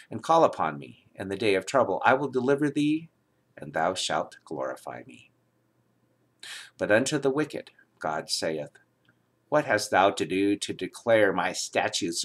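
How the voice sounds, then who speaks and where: A man reading the Bible aloud in a solemn, dramatic style, with emphatic, declamatory delivery and measured phrasing. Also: on the low side, a bit bright. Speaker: scripture reader.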